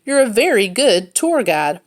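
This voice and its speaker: A woman's voice: medium pitch, very animated, unhurried, voice pushed up. A woman voicing a playful, curious young female babysitter in a storybook, with a friendly, questioning manner.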